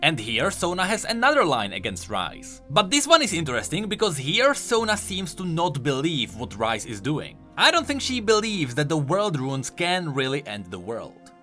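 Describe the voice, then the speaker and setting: Animated, bright-voiced man explaining game lore. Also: on the high side, moderate pace, few pauses. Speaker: host of a game lore video. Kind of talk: scripted explainer narration with commentary. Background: music.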